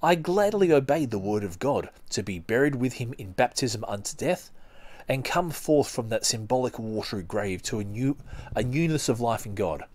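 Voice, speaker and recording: A man hosting a podcast, reading aloud and commenting casually in a halting, rambling way. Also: medium pitch, expressive, darkish tone. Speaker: podcast host.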